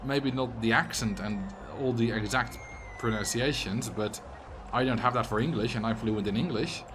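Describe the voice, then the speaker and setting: A man's voice: mid-range voice, normal speed, noisy background. A man with a Dutch accent, a streamer chatting casually and answering chat messages while he plays a game. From Netherlands.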